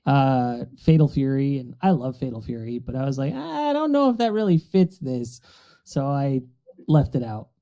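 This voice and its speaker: A man's voice: medium pitch, lively intonation, normal speed, warm tone. A man chatting casually as a podcast panelist, recorded through a dull, lo-fi microphone. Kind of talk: casual chat.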